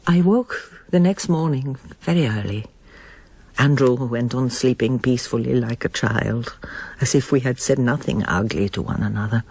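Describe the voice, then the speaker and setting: An actress playing an anguished middle-aged émigré pianist in a radio drama, intense and brooding. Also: female voice, a bass voice, moderate pace, a little mellow, husky, no room sound, full character voice. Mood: reflective narration. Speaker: lead actress and narrator. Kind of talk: radio drama acting and first-person narration.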